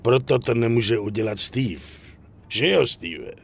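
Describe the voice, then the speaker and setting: Swaggering union boss, animated. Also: male voice, medium pitch, gravelly, a little hiss or hum. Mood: persuasive, slick. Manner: bossy, blunt, swearing, talks down to others. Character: cynical, foul-mouthed middle-aged male dockworkers' union boss. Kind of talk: dubbed crime game cutscene acting.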